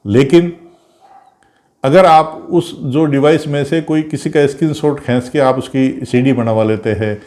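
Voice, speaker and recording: A man's voice: medium pitch, steady tone, measured pace, mellow. Man teaching in an explanatory style. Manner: didactic, repetitive, poses questions then answers them. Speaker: law teacher. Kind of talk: informal explanatory legal lecture.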